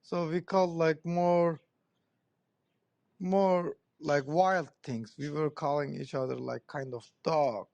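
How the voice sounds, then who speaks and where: A man with a Turkish accent chatting casually as a guest, blunt and informal in halting non-native English. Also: a little high, fairly even intonation, gravelly, a little mellow, lo-fi sound. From Turkey. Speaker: podcast guest. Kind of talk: casual conversation.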